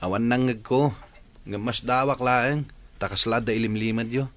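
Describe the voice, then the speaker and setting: A man's voice: mid-range voice, fairly even intonation, normal speed, a bit bright, close to an everyday voice. A man acting a suspicious, questioning son in an Ilocano radio drama. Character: suspicious, questioning son confronting his mother.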